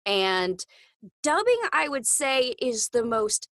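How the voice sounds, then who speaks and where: High-voiced upbeat woman, dry recording. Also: very animated, slow pace, a bit bright, no room sound. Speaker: podcast guest, voice actress. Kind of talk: casual interview talk.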